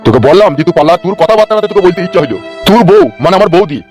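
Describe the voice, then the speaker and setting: A man's voice: high-pitched, lively intonation, very fast, a little mellow, soft background music. A man voicing a teasing, resentful village friend in a comedy cartoon, taunting in a folksy way. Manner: taunting, quotes folksy rhymes.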